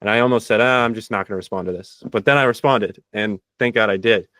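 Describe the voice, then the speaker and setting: Lower-voiced male podcast host, dry sound. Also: fast, a bit bright, smooth, no room sound. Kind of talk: casual interview.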